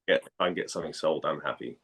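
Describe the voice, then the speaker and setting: Deep-voiced man in casual conversation. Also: a bit bright, slightly rough. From Denmark. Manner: halting, repeats words as he thinks. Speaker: guest, actor and former model. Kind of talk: casual interview chat.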